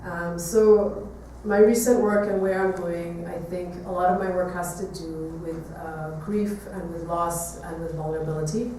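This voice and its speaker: A woman from Trinidad giving an artist talk to an audience, speaking reflectively with hesitant pauses. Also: lowish voice, fairly even intonation, mellow. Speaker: visual artist giving a presentation. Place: echoey room, talk to an audience. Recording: echoey room, distant mic, faint noise.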